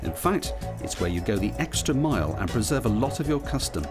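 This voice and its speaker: A man's voice: on the low side, big pitch swings, brisk. A man reading scripted narration for a training film. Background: background music.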